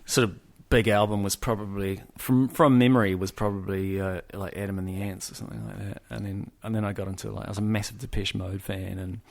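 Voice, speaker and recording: A man in his forties from New Zealand, a musician guest talking casually, halting with many restarts and fillers. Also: fairly low pitch, flat delivery, gravelly. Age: 40s. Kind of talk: casual conversation.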